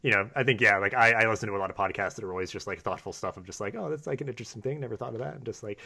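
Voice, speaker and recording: A man's voice: lowish voice, very fast, gravelly, pushed and bright, slightly rough. A man hosting a podcast, talking casually and rambling, packing his sentences with "like" and "you know". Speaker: podcast host. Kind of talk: casual conversational interview.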